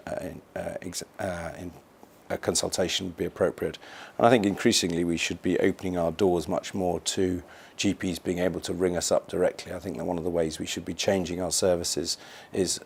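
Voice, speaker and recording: A man with a very deep voice and a lot of vocal fry explains things as a hospital specialist. His delivery is measured and quite flat, with a husky edge. It sounds like an interview for an educational film.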